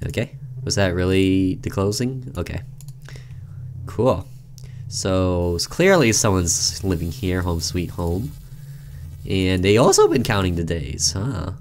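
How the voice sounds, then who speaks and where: Deep-voiced man narrating a game story. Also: expressive, slow, darkish tone, a little hiss or hum. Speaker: gaming video host. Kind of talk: reading visual novel narration aloud with casual commentary.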